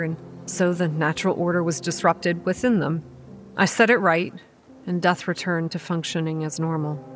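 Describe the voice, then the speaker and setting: Woman acting a low-voiced, stubborn young campground manager. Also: slight background noise, close to an everyday voice. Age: young adult. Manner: earnest, dramatic storytelling with sardonic edge. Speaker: narrator and lead character. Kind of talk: horror audio drama, first-person narration and dialogue.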